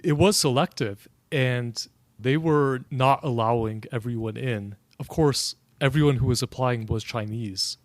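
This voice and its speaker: A man's voice: medium pitch, dry recording. Earnest man telling his story. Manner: earnest, keeps checking in with tag questions. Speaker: interview guest. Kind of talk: conversational storytelling.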